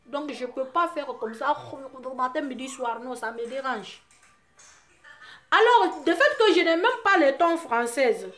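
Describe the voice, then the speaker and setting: A woman from Guinea with a Guinean French accent, Susu her first language, talking to her fans in an impassioned vlog, her voice often rising into loud, forceful outbursts. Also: sits high, lively intonation, unhurried. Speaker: vlogger addressing her fans. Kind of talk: impassioned monologue to camera.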